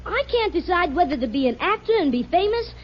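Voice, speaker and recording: A man's voice: very high voice, sing-song, fast. A young man playing a teenage son who puts on grand, affected theatrical airs in a radio comedy. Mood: grandly theatrical. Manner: affected, pompous, theatrical diction. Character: teenage son posing as a grand, pompous British actor. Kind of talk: 1940s radio comedy acting. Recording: muffled, noisy old radio recording with audience.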